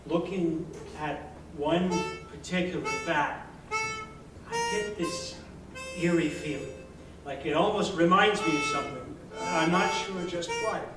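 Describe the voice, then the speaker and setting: A man's voice: a little high, sing-song, slow. An American man giving a dramatic first-person reading of a hardboiled short story in a colloquial, streetwise manner. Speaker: story reader. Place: indoor arts venue, live performance. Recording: echoey live venue, distant mic, music behind. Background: live guitar, harmonica and percussion music.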